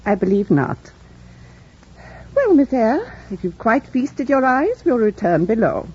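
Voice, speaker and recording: Kindly older female housekeeper, very animated pitch, genteel, fussing, radio drama, dull vintage recording. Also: measured pace, husky, full character voice. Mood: gentle. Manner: motherly, genteel, chatty. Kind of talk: 1940s-50s radio drama acting.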